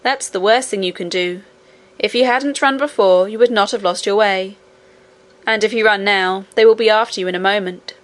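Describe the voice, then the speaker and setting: A woman's voice: mid-range voice, normal speed, natural voice. A woman voicing a brave, friendly young miner boy in a storybook, with an assured, plain-spoken manner. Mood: firm, warning. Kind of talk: storybook character reading.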